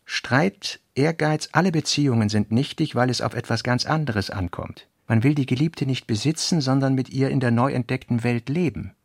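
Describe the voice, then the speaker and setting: A man reading literary notes for a radio play in a measured, sober manner. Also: medium pitch, no room sound, clean studio sound.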